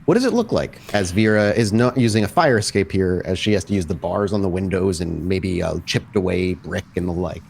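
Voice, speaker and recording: A man with a fairly low voice runs a tabletop roleplaying game. He improvises scene descriptions in detail and talks to the players in an accommodating way. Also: expressive, brisk, vocal fry, hardly any pauses, a little mellow, slight background noise. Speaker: game master of a vampire tabletop roleplaying podcast.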